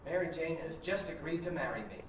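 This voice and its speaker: A man's voice: on the high side, animated, a little fast, natural voice. Man as a proud fiancé, very echoey. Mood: proud, happy. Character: proud young fiancé making a formal announcement. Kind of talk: formal speech in a game cutscene. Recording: very echoey, distant, faint noise.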